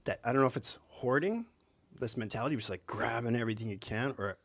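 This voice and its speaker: A man's voice: medium pitch, quick pace, fairly bright tone. A man co-hosting a podcast, easygoing and joking, often restarting his sentences with "uh". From United States. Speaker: podcast co-host. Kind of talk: casual chat.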